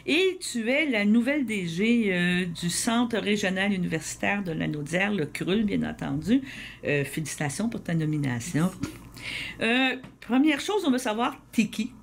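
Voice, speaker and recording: A woman's voice: mid-range voice, animated, moderate pace, vocal fry. Chatty Québécois woman radio host. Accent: Québécois French. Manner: chatty, rambling, repeats words, laughs easily. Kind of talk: convivial radio interview. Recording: community radio with faint noise.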